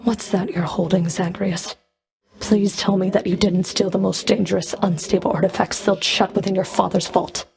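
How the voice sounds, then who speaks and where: A woman's voice: medium pitch, steady tone, normal speed, creaky, darkish tone, close to an everyday voice. A woman playing a stern, scornful underworld fury in a video game, with a cold, sardonic, taunting delivery. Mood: stern, exasperated. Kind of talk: scripted video game character dialogue.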